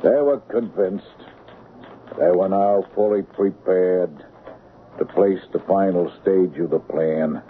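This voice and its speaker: A man acting as the measured narrator of a scripted 1970s radio crime mystery. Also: sits low. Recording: muffled, hissy 1970s radio broadcast.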